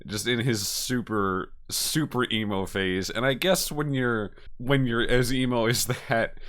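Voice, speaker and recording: A man hosting a reaction video with casual, unscripted commentary, halting and emotive, often repeating his phrases. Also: medium pitch, fairly bright tone.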